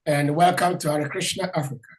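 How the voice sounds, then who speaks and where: A man hosting an online devotional talk, hoarse from losing his voice, welcoming a speaker politely with many hesitations. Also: on the high side, fairly even intonation, fairly quick. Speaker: host. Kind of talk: formal welcome and introduction.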